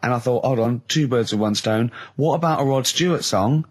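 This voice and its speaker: A man co-hosting a radio show, chatting casually and telling anecdotes in a rambling, animated way. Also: medium pitch, brisk.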